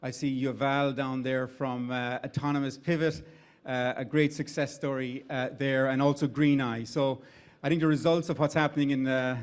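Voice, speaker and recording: A man's voice: medium pitch, little pitch movement, normal speed, clean tone. A middle-aged man with an Irish accent, giving conversational opening remarks at a live event, with restarts and fillers. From Ireland. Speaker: CEO of an investment firm giving opening remarks. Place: event venue with an audience.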